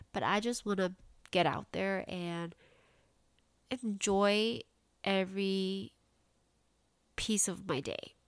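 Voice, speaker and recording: A woman's voice: medium pitch, no echo. Chatty congested woman in her late twenties. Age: late 20s. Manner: chatty, bubbly, lots of "like" fillers. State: congested, stuffy nose. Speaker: podcast host. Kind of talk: casual solo podcast chat.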